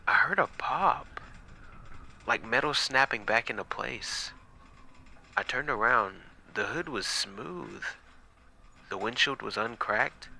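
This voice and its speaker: A man playing an exhausted, frightened night watchman recording halting horror-story log entries, dramatic and sometimes breaking into whispers. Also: mid-range voice, vocal fry, bright, forceful tone, full character voice. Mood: uneasy. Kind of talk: first-person horror audio log narration.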